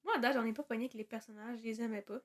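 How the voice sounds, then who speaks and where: A young woman with a Quebec French accent, co-hosting a podcast in relaxed, slangy talk. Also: a little high, racing. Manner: relaxed, slangy, with fillers like "genre" and "comme". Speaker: podcast co-host. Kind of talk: casual chat.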